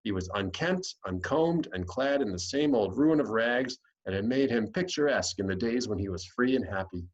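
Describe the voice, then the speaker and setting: A man's voice: mid-range voice, lively intonation, normal speed, few pauses, highs cut off. An American man reading a classic storybook aloud as scripted narration. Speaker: audiobook reader.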